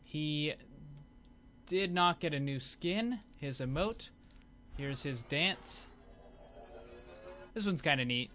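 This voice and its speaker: Bright-voiced man doing casual gaming commentary. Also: on the high side, lively intonation, takes it slowly, pauses often, faint music behind. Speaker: gaming video host. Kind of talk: casual commentary over game footage.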